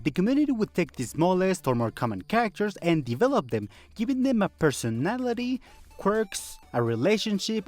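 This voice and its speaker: A video essayist narrating a scripted fandom video in a conversational way, with rhetorical questions and wry asides. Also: medium pitch.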